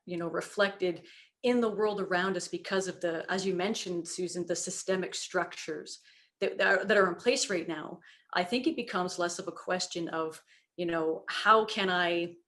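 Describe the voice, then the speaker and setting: A woman with a slightly low voice, speaking earnestly and reflectively as a panelist. She talks in a thoughtful, searching way and leans often on small fillers. She sounds like she is on a video call. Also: fairly bright tone. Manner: earnest, reflective, many "you know" fillers. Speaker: children's book creator on a panel. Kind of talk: panel discussion.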